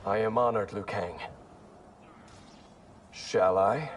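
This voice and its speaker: A man's voice: lowish voice, steady tone, takes it slowly, a little hiss or hum. An actor playing a respectful, honourable male warrior, formal and emphatic, in a cinematic game. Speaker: actor voicing a game character.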